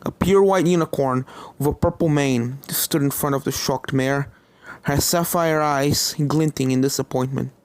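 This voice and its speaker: A man's voice: mid-range voice, moderate pace, clear, resonant tone, no room sound. A man reading a horror story aloud as a dramatic narrator.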